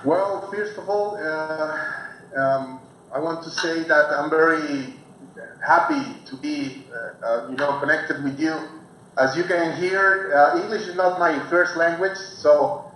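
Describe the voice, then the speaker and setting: A Mexican guest lecturer, native in Spanish, speaking haltingly in a slightly high voice over a remote call played into a very echoey room. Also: male voice, a bit bright. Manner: halting, searching for English words. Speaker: guest speaker, forensic researcher. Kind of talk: informal public lecture. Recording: very echoey, faint noise, remote video call.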